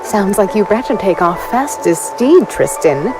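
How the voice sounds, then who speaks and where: A woman's voice: mid-range voice, very animated, measured pace, low-quality recording. An actress playing a playful, teasing warrior lady in a fantasy audio drama. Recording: music behind the voice.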